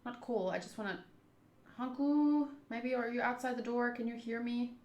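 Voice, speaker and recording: A woman's voice: fairly high pitch, steady tone, moderate pace, darkish tone. A chatty woman doing casual playthrough commentary, thinking aloud and reading game text. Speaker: host of a visual novel playthrough. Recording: roomy echo, game music behind. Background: game music.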